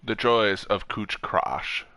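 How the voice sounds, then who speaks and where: A man's voice: fairly low pitch, expressive, takes it slowly, sharp tone, dry recording. A man streaming a video game and chatting casually with a friend in a rambling, sweary way. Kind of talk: casual gaming chat with a friend over voice chat.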